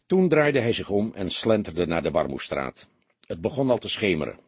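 A man narrating a crime audiobook in an expressive, scripted storytelling style. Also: low voice, moderate pace, husky, no room sound.

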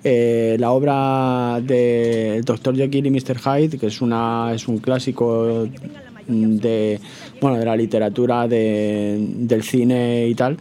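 A man with a Spanish accent from Spain hosting a casual live radio discussion, halting and repeating words as he searches. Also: medium pitch, level tone, warm tone. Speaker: radio show host.

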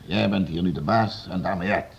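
A man's voice: deep voice, moderate pace, gravelly, slightly rough, dull audio, full character voice. An actor playing a commanding, scornful nobleman in a radio play. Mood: self-assured. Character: stern, domineering male nobleman, bullying and sure of himself.

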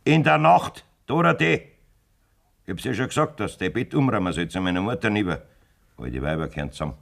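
Herrischer bayerischer Bauer, tiefe knarrende Stimme. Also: male voice, lowish voice, expressive, quick pace, creaky, a bit bright. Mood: scornful. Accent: Bavarian. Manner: blunt, coarse, scornful. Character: domineering, lecherous farmer, callous husband. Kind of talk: German radio play acting in dialect.

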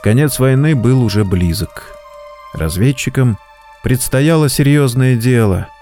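A man narrating an audio drama in a measured, deliberate storytelling manner. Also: mid-range voice, lively intonation, dark tone. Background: faint music.

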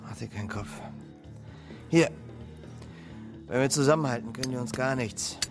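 An actor playing an irritable, shady tattooist in a radio crime drama, gruff and curt. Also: male voice, mid-range voice, moderate pace, natural voice. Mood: curt, reassuring. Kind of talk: German radio drama acting. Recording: loud music behind the voice.

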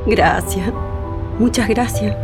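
A woman's voice: mid-range voice, takes it slowly, music in the background. A woman with a Chilean accent playing a grieving, resolute daughter in a radio drama. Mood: subdued, grateful. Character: grieving, resolute daughter bidding her dead father farewell.

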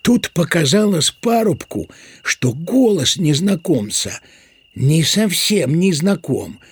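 A man narrating a literary audio drama in a measured, dramatic manner. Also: a little high, sing-song, breathy edge, dry recording.

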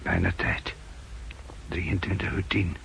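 A watchful scout in an old radio play. He reports slowly and quietly in a low, gravelly voice over a noisy vintage recording. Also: fairly even intonation, in a whisper, full character voice. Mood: watchful, hushed. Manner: measured, hushed, clipped reporting. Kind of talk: 1960s Dutch radio drama acting.

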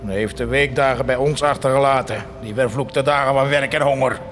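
An actor in a Dutch radio drama playing an embittered poor villager, plaintive and full of despair. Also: male voice, medium pitch, steady tone, brisk, a bit bright, a little hiss or hum, close to an everyday voice. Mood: bitter, despairing. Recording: faint music behind the voice.

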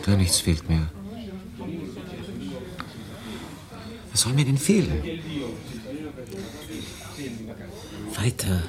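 A man's voice: low voice, very animated, a little fast, crowd noise. An actor playing a brooding, probing man in a wartime exile radio drama, speaking tersely and challengingly. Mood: guarded, probing. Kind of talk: dramatic radio play acting.

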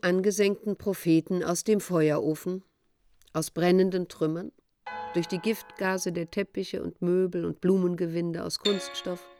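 A woman's voice: lowish voice, a little mellow, clear, resonant tone, lightly acted. A woman acting as the storyteller narrator of an apocalyptic radio play, reading in a literary style.

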